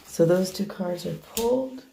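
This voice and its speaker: A hoarse woman chatting through a tarot card reading, soft and meandering as she shuffles and lays out cards. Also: fairly low pitch, measured pace, slight background noise. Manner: soft, meandering, half to herself while handling cards. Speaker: tarot reader. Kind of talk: casual tarot reading monologue.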